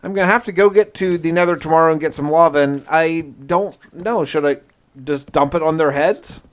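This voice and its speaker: A man with a slightly high voice narrates a gaming video in the first person. His delivery is casual and conversational, as if thinking out loud while recapping his play. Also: fairly quick.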